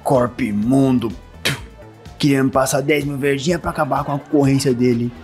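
A man's voice: medium pitch, moderate pace, darkish tone, faint music behind, lightly acted. A man playing an arrogant, irritable, foul-mouthed shadowrunner fixer in an audio drama, confrontational and scornful.

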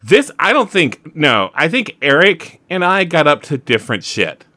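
A man chatting casually as a tabletop game player, thinking aloud in a halting way with many restarts. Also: fairly high pitch, sing-song, bright, forceful tone. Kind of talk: casual improvised game chat.

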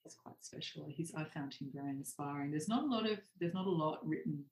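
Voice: medium pitch, fairly quick, darkish tone. A guest author and artist talking in a meandering, hedging way with many "sort of" and "um". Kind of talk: reflective interview conversation. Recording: roomy echo.

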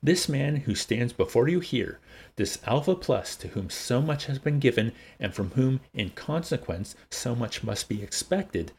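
A man's voice: medium pitch, very animated, a little slow, a little mellow, breathy edge, voice pushed up, heavily acted. A man voicing a pompous, accusing director in a declamatory, oratorical manner for an audiobook. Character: pompous, accusing male director making public denunciations. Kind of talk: dramatised audiobook reading.

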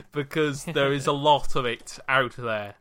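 A young Welsh man with a Welsh accent, co-hosting a casual podcast chat and telling stories in a halting, stop-start way. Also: fairly high pitch, big pitch swings, fairly bright tone, slight background noise. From Wales. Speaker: podcast co-host.

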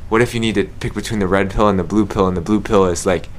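A man's voice: low-pitched, fairly even intonation, fast. A young man hosting a podcast in a casual, conversational style. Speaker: podcast host.

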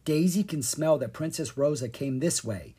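A storyteller voicing an eager, cheerful young boy in a children's storybook, emphatic and excitable. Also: medium pitch, moderate pace, husky. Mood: confident, cheerful.

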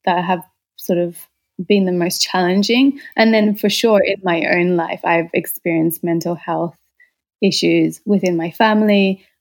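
A woman's voice: on the low side, steady tone, measured pace. A woman from Austria who moved to Australia as a child, speaking candidly and reflectively as an interview guest. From born in Austria, moved to Australia as a child. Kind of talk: personal storytelling in a conversational interview.

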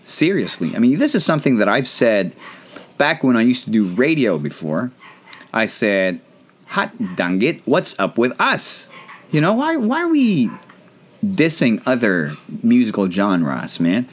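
A man's voice: mid-range voice, wildly swooping pitch, unhurried. A Filipino man, not a native English speaker, hosting a casual, rambling, self-deprecating chat show. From Philippines. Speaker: podcast host.

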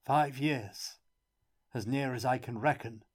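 Hoarse broken-down prisoner guy, high and tearful, a man putting him on for the story. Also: measured pace, darkish tone, close to an everyday voice. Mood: mournful. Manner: pleading, tearful. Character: miserable hoarse imprisoned man, guilt-ridden.

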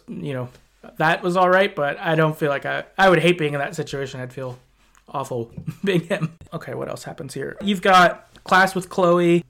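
A man's voice: on the high side, fairly bright tone. A man hosting a podcast, talking casually and a little hesitantly as he recaps a video game's story. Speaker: podcast host.

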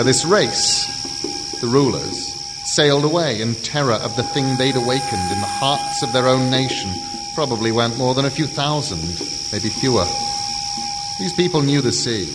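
An actor playing an earnest, scholarly man who expounds ancient history in an audio drama, halting and emphatic, full of rhetorical questions. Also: mid-range voice, close to an everyday voice. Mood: intense storytelling. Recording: long reverb, loud ambient noise.

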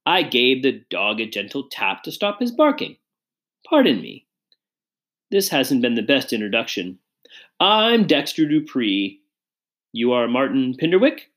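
A woman's voice: extremely low pitch, very animated, measured pace, pauses often, heavily acted. A woman voicing a self-important, dismissive man in a storybook, with a glib, condescending manner.

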